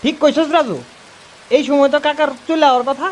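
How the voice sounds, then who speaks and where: A man's voice: extremely high pitch, fairly even intonation, a little fast, slight background noise, voice pushed up. A man voicing a chatty, teasing young village friend in an audio story. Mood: chatty, casual.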